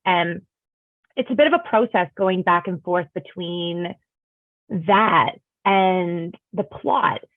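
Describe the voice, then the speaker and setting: A woman with a lowish voice explains her creative process in a measured, thoughtful way. The sound is dry and tinny, like it is coming through a phone line. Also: dry recording. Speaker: comic author on a panel. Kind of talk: panel discussion answer.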